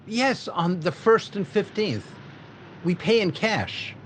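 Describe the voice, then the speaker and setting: An actor playing a shaken factory owner in a 1940s-style radio drama, talking in a hesitant, stammering way. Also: male voice, sits high, lively intonation, takes it slowly, a bit bright, slight background noise, full character voice. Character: shaken middle-aged male factory owner robbed of payroll.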